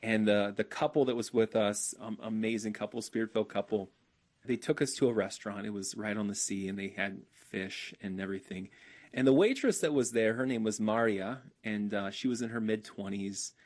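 A man excitedly sharing a faith testimony as a guest, with frequent restarts. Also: lowish voice, fairly quick.

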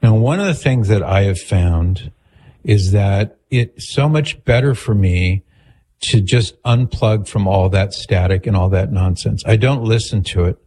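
Deep, husky male radio host. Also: unhurried. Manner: calm, attentive, restates the caller's points. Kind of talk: call-in radio talk.

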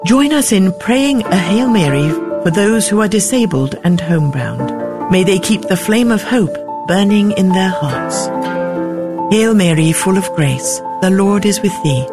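A speaker slowly leading a prayer aloud in a solemn way. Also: medium pitch, expressive, over music. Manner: slow and solemn.

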